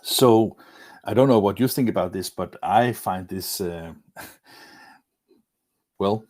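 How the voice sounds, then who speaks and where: A man with a Danish accent, presenting a webinar lecture in English and often pausing with fillers and restarts. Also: lowish voice, a little mellow, dry recording, clean studio sound. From Denmark. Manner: hesitant, many fillers and restarts. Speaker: webinar presenter, magazine editor.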